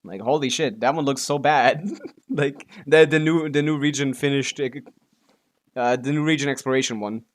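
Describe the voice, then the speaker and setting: A man's voice: medium pitch, normal speed. Man casually muttering through a game stream. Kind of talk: casual live gameplay commentary.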